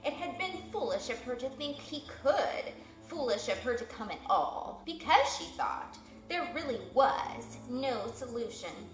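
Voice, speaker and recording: A woman reading a children's novel aloud with expressive, animated narration. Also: on the high side, unhurried, few pauses. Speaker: reader. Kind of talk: storybook read-aloud. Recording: echoey room, faint music.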